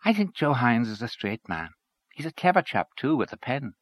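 A man voicing a mild, doubtful canvasser who speaks up a little higher. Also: big pitch swings, moderate pace, no room sound.

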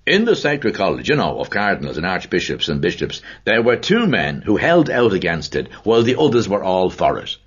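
A self-assured middle-aged gentleman lays out his story with slow, hammering emphasis, as a man reads him aloud. The voice is husky and lifted higher than the reader's own, swinging widely. It comes through a dull, lo-fi recording. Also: big pitch swings, heavily acted. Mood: earnest. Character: authoritative middle-aged man holding forth on church history.

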